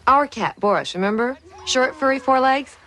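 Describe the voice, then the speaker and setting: A woman's voice: high voice, lively intonation, normal speed, heavy background noise. An actress playing a frazzled suburban wife and mother who grows indignant, in a naturalistic television drama. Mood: agitated, indignant.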